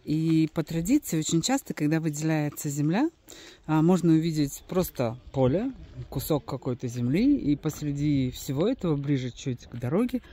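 A woman's voice: deep voice, animated, normal speed, dark tone. A woman giving casual, unscripted travel commentary as a guide, explaining things hesitantly with trailing asides.

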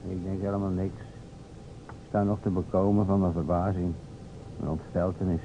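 A man's voice: low voice, level tone, moderate pace, warm tone. An actor playing a short, fat, stolid and easily dismayed policeman in an old radio play. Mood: stolid, musing. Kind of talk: old-time Dutch radio play acting. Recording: dull old radio recording, clear hiss.

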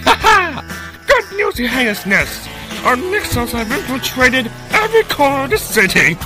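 A man voicing an eager, blustering little military henchman creature in a cartoon fan dub, barking excitably. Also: extremely high pitch, a little slow, music in the background, heavily acted, poor audio. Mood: excited.

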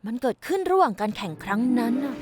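A cocky, lively pegasus tomboy. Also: female voice, a little high, fast. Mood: boastful. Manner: boastful, energetic storyteller. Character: brash, cocky young pegasus pony mare racer. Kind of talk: Thai cartoon dub. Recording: music bed under the voice.

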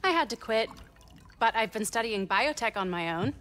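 An actress playing a sharp, sardonic teenage science whiz in a naturalistic video game scene. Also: female voice, a little high, animated, normal speed. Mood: wry.

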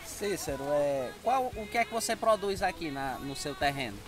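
A man's voice: on the high side, expressive, normal speed. A man hosting a casual interview outdoors on a farm. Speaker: interviewer, channel host. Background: music.

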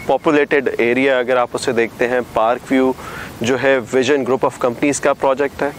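A man in his thirties speaks with a Pakistani Urdu accent. His voice sits at an ordinary middle pitch, and he explains things in a steady, informative way. He is recorded on a clip-on mic outdoors, with a faint hum of traffic and open air behind him. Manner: steady explanatory, gestures with hands. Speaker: real estate advisor. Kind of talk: on-site property presentation. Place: outdoor hilltop overlooking construction site. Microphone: lapel mic.

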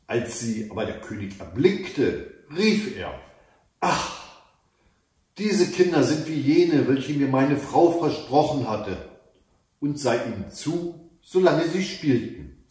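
Middle-aged male storyteller, unhurried and animated, reading a tale aloud in a reverberant room. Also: a little high. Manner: deliberate, careful storytelling delivery. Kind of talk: expressive read-aloud fairy tale narration. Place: indoors in a book-lined room at home. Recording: echoey room, mic away from speaker.

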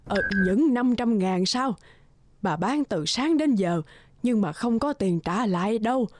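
A woman voicing a poor, meek old lottery seller in an animated drama, halting, apologetic and pleading. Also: on the high side, noisy background. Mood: flustered. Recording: studio voice with clear music behind.

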